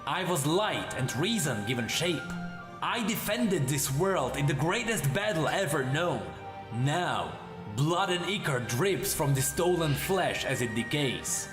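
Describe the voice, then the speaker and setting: A man voicing a bitter, brooding ancient fallen warrior demon in a slow, solemn, theatrical monologue. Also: on the high side, big pitch swings, echoey room, music in the background. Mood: bitter grandeur.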